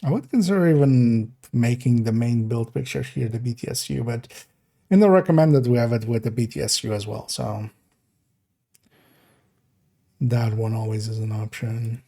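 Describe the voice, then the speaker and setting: A young man from the Netherlands, streaming live and thinking aloud in a relaxed, meandering way while reviewing his work. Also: mid-range voice, moderate pace, creaky. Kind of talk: casual live-stream commentary.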